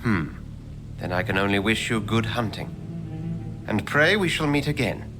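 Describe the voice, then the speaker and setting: An actor voicing an urbane, unflappable doctor in a video game, speaking formally and politely. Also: male voice, fairly low pitch, expressive, normal speed, close to an everyday voice. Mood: cautioning. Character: urbane, unflappable male doctor, secretive vampire investigator. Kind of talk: scripted game cutscene dialogue. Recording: music under the voice.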